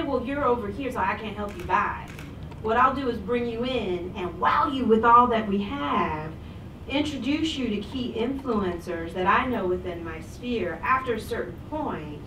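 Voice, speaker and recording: Animated woman panelist, echoey room. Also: on the high side. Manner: energetic, animated storytelling with tips. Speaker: panelist, government program leader. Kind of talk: panel discussion answer. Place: conference room. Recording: echoey room, far from mic, background noise.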